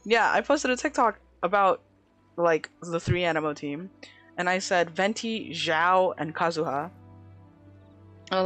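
A woman game streamer with a slight lisp, talking casually as she plays. She chats in a playful, easy way and addresses her viewers directly. Faint game music runs quietly in the background. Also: lowish voice. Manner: chatty, playful, talks to chat. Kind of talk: casual gameplay commentary.

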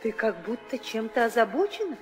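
A woman's voice: on the high side, very animated, brisk, a little mellow, faint music behind. An actress playing a proud, beloved queen in a theatrical 1960s radio drama. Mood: happy. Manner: theatrical, imperious. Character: proud, happy queen, beloved wife of a king. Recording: old radio recording with long reverb.

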